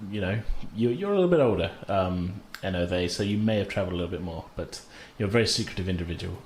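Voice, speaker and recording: A man's voice: sits low, expressive, quick pace. Guy being game master, casual storytelling kinda made up as he goes, bit of room noise. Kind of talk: casual tabletop game narration. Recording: noisy room ambience.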